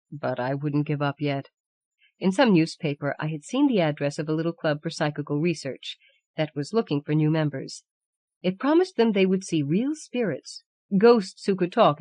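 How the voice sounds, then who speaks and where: A woman reading a literary story aloud as scripted audiobook narration, in a measured and articulate way. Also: on the low side, no echo. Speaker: audiobook reader.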